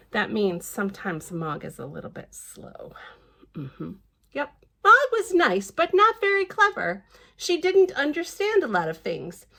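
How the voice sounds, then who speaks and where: Very animated high-voiced woman reading to children. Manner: animated, sing-song, repeats phrases and affirms herself. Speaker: children's librarian and storytime reader. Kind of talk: storybook read-aloud with chatty asides to young children.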